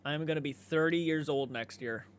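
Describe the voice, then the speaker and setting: A man with a slightly high voice hosts a casual livestream. He talks in a laid-back, conversational way, reading out comments and replying to them, and swears freely as he goes. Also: moderate pace, a little hiss or hum. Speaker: livestream host.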